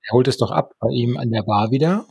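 A man with a husky, gravelly voice casually retelling a story as a podcast co-host at a close microphone. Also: medium pitch, brisk, a little mellow, dry recording. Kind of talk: conversational recap of a radio play. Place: small room at home.

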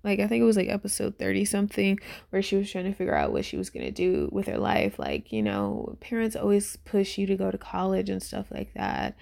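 A woman in her twenties giving a casual, unscripted review in a rambling, informal way, with lots of fillers and heavy vocal fry. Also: medium pitch, huge pitch swings, a little fast, hardly any pauses, a little mellow. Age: 20s. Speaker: YouTuber reviewing a webtoon. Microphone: voice-over on a phone screen recording.

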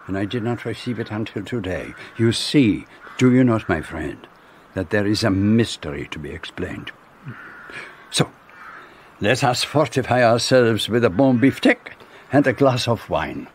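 An actor playing a fastidious, courteous Belgian detective with a French-speaking Belgian accent, formal and inquisitive, in a radio play. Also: male voice, lowish voice, animated, a little hiss or hum. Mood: brisk, intrigued.

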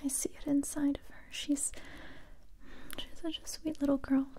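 A young woman playing a kind but wary survivor interrogating someone in a soft, intimate, close-up ASMR whisper. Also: high voice, little pitch movement, close to an everyday voice. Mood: wistful, worried. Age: young adult. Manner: slow, hesitant, intimate close-up delivery. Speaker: ASMR roleplay performer. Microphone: close mic, whispering near the listener.

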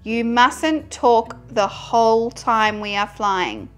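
Woman as a high-voiced, practical storybook goose. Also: slow pace, a bit bright, faint music behind. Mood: warning. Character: practical, patient, firm goose friend of a tortoise.

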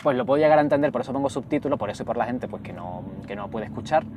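A young man from Jerez with a softened Andalusian accent, chatting fast and animatedly as a guest inside a car. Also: medium pitch, animated, a little hiss or hum. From Andalusia, Spain. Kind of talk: casual chat.

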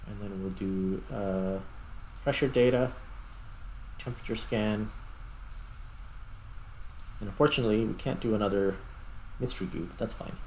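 A man giving casual, unhurried gameplay commentary, thinking aloud as he plays. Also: on the low side, steady tone, darkish tone, slight background noise, low-quality recording. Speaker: host, gamer.

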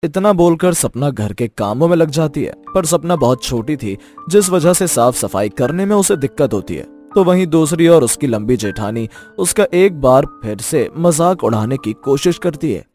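Guy narrating a scripted story, animated mid-pitched voice, soft music behind. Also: moderate pace, hardly any pauses.

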